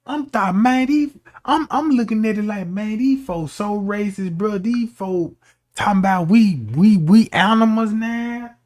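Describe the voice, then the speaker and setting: Slow-talking high-voiced man ranting. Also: darkish tone, no room sound. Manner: slangy, conversational, argumentative. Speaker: streamer. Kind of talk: casual commentary rant.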